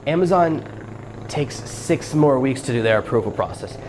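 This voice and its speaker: A man of middle pitch hosts a solo podcast, walking listeners through each step in a practical, coaching manner. His voice sits at an everyday register, with a faint hiss behind it. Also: animated, moderate pace, a little mellow, poor audio. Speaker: podcast host. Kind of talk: solo podcast advice talk.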